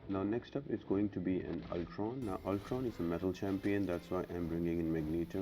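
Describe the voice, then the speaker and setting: A man hosting a gaming guide, explaining a walkthrough step by step and addressing viewers directly in an instructional way. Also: low-pitched. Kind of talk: explanatory game walkthrough commentary. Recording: clearly noisy background.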